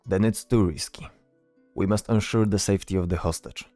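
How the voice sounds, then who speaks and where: Man playing an earnest, low-voiced fantasy soldier. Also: brisk, warm tone, no echo, soft background music, natural voice. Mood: resolute. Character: earnest, dutiful male soldier in fantasy adventure. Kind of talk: fantasy audio drama dialogue.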